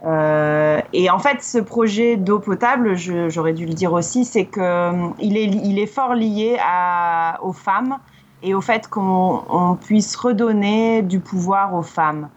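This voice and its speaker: A woman's voice: mid-range voice, slow, lo-fi sound. A woman from northern France with a light northern French accent, speaking casually as a guest, with frequent hesitations and restarts. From Lille, northern France. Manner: hesitant, many fillers and restarts. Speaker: charity volunteer guest. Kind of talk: casual podcast interview. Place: lives in Melbourne.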